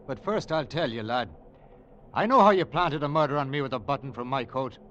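An actor playing a cool, menacing investigator with a gun, taunting and theatrical, in a 1940s radio drama. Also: male voice, medium pitch, fairly quick, fairly bright tone, lightly acted. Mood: taunting menace. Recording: muffled, noisy old radio recording.

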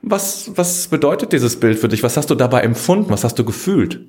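A man's voice: medium pitch, sing-song, brisk, darkish tone, breathy edge. A man hosting short interviews for a community outlet, talking in a conversational way with fillers and restarts. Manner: conversational, with fillers and self-corrections. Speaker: community media host. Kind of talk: casual report and interview.

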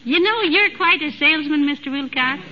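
A woman's voice: high-pitched, fairly quick, close to an everyday voice. A woman playing a dry, teasing radio wife. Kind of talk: 1940s radio comedy acting. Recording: dull old radio recording with hiss.

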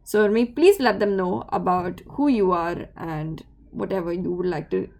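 A woman's voice: on the low side, moderate pace, vocal fry, a little mellow. A woman hosting her podcast, reading a grateful intro and then asking questions. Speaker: podcast host. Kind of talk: scripted intro, then interview.

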